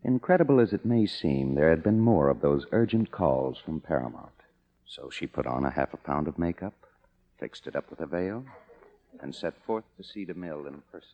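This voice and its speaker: An actor playing a wry, world-weary young screenwriter in an old noir radio drama. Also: male voice, a bass voice, expressive, normal speed, full character voice. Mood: wry, narrating. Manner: wry, sardonic. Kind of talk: 1950s radio drama acting with noir narration. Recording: muffled, noisy old radio recording.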